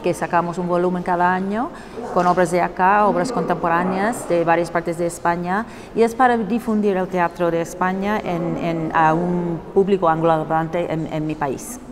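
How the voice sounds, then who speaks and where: A woman from New York, a Spanish professor giving a clear, orderly interview answer. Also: lowish voice, steady tone, moderate pace, big-room sound, crowd noise. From New York, USA. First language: English.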